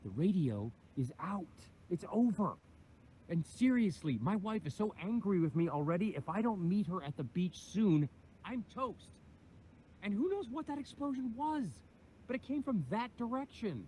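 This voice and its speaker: An exasperated husband, acted by a man, arguing in a high, strained voice. Faint ambience sits underneath. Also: lively intonation, moderate pace, mellow, a little hiss or hum, low-quality recording. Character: exasperated husband trying to reach his wife. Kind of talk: comedic sketch acting.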